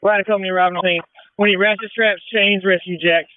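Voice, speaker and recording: A man's voice: sits high, steady tone, fast, smooth. A man working as a firefighter, giving clipped, urgent messages over a two-way radio. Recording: tinny narrowband two-way radio traffic.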